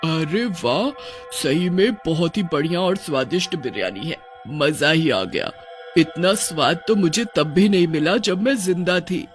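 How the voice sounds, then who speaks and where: A woman voicing a gluttonous, gleeful and bossy witch ghost in a cartoon, gloating with drawn-out exclamations. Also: low voice, animated, faint music behind, pitched below the performer's own voice. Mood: greedy delight.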